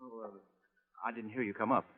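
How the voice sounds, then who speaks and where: A man's voice: on the low side, fairly even intonation, fairly quick, natural voice. A man playing an evasive doctor in a vintage radio drama, hesitant and stammering. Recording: muffled old radio with long reverb.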